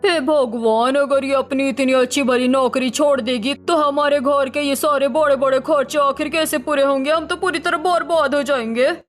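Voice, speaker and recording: A woman's voice: high-pitched, fairly even intonation, normal speed, hardly any pauses, natural voice. A woman playing a worried, money-minded middle-aged mother-in-law in a cartoon family melodrama, speaking in a fretful, lamenting way. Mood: distressed.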